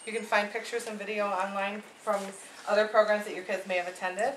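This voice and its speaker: A woman's voice: mid-range voice, level tone, a little fast. A woman acting as host of a library event, introducing a readers theater performance. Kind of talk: informal introduction, then read aloud script. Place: indoor library event room. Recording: echoey room with faint noise.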